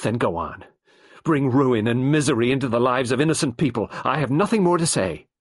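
A man's voice: medium pitch, very animated, moderate pace, breathy edge, dry recording, pitched above the performer's own voice. A man reading the part of a proud young woman who pleads passionately and intensely. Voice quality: passionate, imploring.

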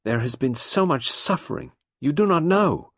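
A man's voice: a little high, lively intonation, normal speed, fairly bright tone, no echo, studio quality, voice pushed up. A man reading the part of a proud young woman who pleads passionately and intensely. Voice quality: passionate, imploring.

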